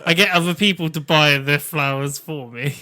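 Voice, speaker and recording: A man's voice: a little high, fairly even intonation, normal speed, sharp tone. A man with a Yorkshire accent co-hosting a podcast, joking and teasing and swearing freely. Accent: Yorkshire English. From Yorkshire, England. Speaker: podcast co-host. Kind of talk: casual banter.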